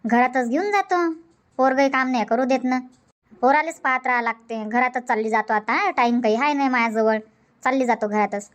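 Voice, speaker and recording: A woman's voice: high voice, brisk, close to an everyday voice. A woman voicing a busy, chatty village auntie in a comedy cartoon, rambling in a folksy way with a Varhadi Marathi accent from Vidarbha.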